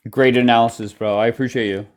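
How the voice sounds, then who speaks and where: A man hosts a casual live stream and presses his callers with blunt, pointed questions. His voice sits at an ordinary middle pitch for a man. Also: steady tone, fast talker. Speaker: livestream host. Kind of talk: casual live debate chat.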